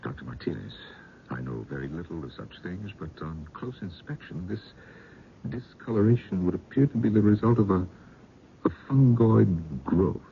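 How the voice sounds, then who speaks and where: Sober gentleman investigator, extremely low creaky voice, measured formal radio drama storytelling. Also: animated. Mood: grave, inquiring. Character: sober, inquiring gentleman narrating his own mystery. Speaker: actor and narrator in radio mystery drama. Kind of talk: 1970s radio drama narration and dialogue. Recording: dull, noisy old radio broadcast.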